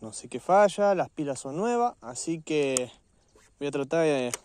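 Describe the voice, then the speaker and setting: A man's voice: a little high, expressive, a little slow, darkish tone. A man with an Argentine accent casually narrating an outdoor fishing vlog, chatting informally to his viewers. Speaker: fishing vlogger. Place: outdoors on a riverbank.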